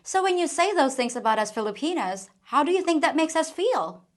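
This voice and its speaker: A woman's voice: high voice, very animated, a little fast. A woman from the Philippines hosting a scripted commentary video, speaking with wry, playful sarcasm. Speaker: commentary channel host.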